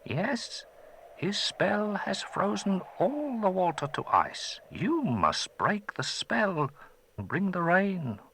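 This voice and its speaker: A man putting on a high, solemn old magician. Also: sing-song, slow pace, fairly bright tone, a little hiss or hum, heavily acted.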